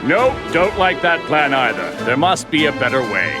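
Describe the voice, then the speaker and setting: A man's voice: mid-range voice, sing-song, moderate pace, close to an everyday voice. An actor playing a smug, menacing male villain in a video game cutscene, mocking and self-assured. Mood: arrogant. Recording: loud music behind the voice.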